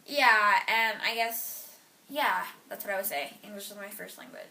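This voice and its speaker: Chatty teenage girl with a bright voice. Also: mid-range voice, moderate pace, gravelly, slight background noise. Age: teens. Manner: chatty, filler-heavy, trailing off. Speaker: interviewee. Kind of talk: casual interview answers.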